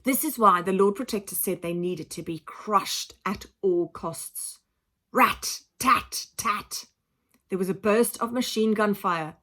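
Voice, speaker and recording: A woman narrates a children's storybook at a medium pitch. She reads with dramatic emphasis and expressive phrasing, in the manner of a teacher reading aloud. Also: slow. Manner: dramatic, emphatic, acts out sound effects.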